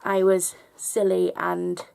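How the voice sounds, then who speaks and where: A woman vlogger with a slightly hoarse voice, talking earnestly and reflectively straight to her viewers. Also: lowish voice, monotone, slow pace, a little mellow. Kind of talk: personal vlog monologue.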